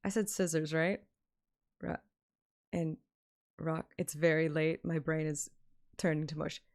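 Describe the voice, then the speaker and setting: A woman's voice: low voice, steady tone, unhurried. A woman livestreamer chatting casually and playfully, often giggly and interrupting herself as she talks to viewers. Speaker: live streamer and fan reader.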